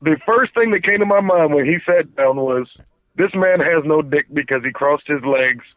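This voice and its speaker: A man's voice: a little high, moderate pace, no echo. A man phoning in to a casual talk show, telling a rambling story and stumbling over his words. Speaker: phone-in guest. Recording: tinny phone line.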